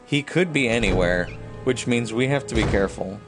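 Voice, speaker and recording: A man's voice: medium pitch, lively intonation, fairly quick, over music. A man chatting casually with viewers and thinking aloud in rambling fashion as he streams a video game. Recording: clear background noise.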